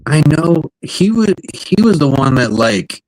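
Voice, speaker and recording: A man co-hosting a paranormal talk show in casual chat, easygoing and joking, reacting quickly. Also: a little high, very animated, darkish tone, no room sound, rough recording. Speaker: podcast co-host.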